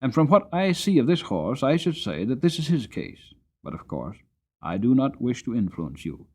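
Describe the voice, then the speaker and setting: A man voicing a kindly old grandfather, a gentleman farmer, in a dramatic storybook reading with a deliberate, encouraging, courteous manner. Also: medium pitch, sing-song, slightly rough. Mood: courteous, reassuring. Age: older.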